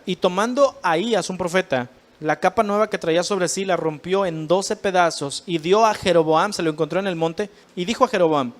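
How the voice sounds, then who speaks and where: A male preacher with a Mexican accent lays out his teaching in a fairly high voice. He speaks directly to his listeners, calling them brother and pressing his points home as he explains. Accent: Mexican Spanish. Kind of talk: sermon teaching.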